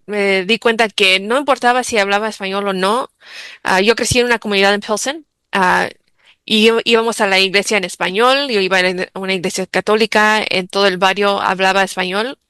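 Bright, crisp-voiced bilingual woman guest. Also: medium pitch, steady tone, normal speed, no echo. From United States. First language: English. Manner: reflective, many fillers and restarts. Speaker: interview guest, bilingual mother. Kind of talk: interview answers.

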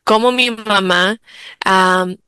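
Bright, crisp-voiced bilingual woman guest. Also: mid-range voice, takes it slowly, no room sound, clean studio sound. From United States. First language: English. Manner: reflective, many fillers and restarts. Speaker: interview guest, bilingual mother. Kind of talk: interview answers.

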